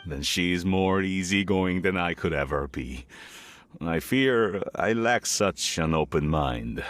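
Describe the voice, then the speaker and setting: Casting: a middle-aged tea merchant for a video game. Deep register, unhurried pace, worried, earnest and careworn. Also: male voice, lively intonation, a bit bright, a little hiss or hum, exaggerated voice. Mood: humbled, polite. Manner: hesitant, earnest, weary. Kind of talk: scripted video game dialogue.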